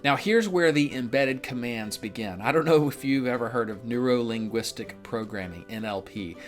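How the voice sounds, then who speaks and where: A man's voice: mid-range voice, normal speed, soft background music. A man from Memphis giving a conversational instructional walkthrough in a casual, explanatory way, as a real estate instructor. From Memphis, United States. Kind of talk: conversational instructional narration of a script.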